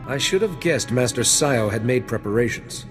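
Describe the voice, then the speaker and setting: An actor playing a calm, noble, reassuring Jedi master in a video game. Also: male voice, mid-range voice, moderate pace, big-room sound, faint music behind, lightly acted. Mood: composed. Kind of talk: video game cutscene acting.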